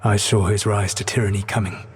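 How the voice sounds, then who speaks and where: Ancient proud elf, brooding scholar, low husky voice, formal eloquent speech, video game dialogue, long reverb. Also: male voice, level tone. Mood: brooding, disdainful. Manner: measured, formal, eloquent diction. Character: ancient elven trickster god, proud, brooding, regretful scholar.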